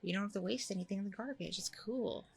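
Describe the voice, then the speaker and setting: A woman playing a chatty, candid, self-deprecating single mom on a blind date in a casual audio roleplay, rambling informally with a creaky voice. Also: medium pitch, quick pace. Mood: friendly, chatty. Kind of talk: ASMR audio roleplay, casual conversational acting.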